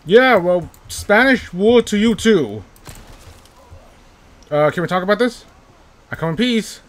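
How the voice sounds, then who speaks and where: A man's voice: high voice, expressive, unhurried, a bit bright. Male let's-play host, casual and self-mocking, repeats phrases under pressure. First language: not English, by his own joke. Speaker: gaming YouTuber.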